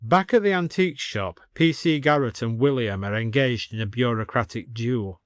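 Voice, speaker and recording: A man's voice: mid-range voice, moderate pace, no room sound. Male storyteller, dry close recording. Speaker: audiobook narrator. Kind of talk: scripted story narration.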